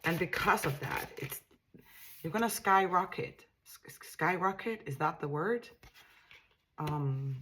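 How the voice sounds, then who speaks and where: Woman, Danish accent, low voice, tarot reading, musing and reassuring, faint hiss behind. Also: animated, measured pace, pauses often, fairly bright tone. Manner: musing, repeats and restarts words, reassuring. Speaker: tarot reader. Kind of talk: casual tarot reading to camera.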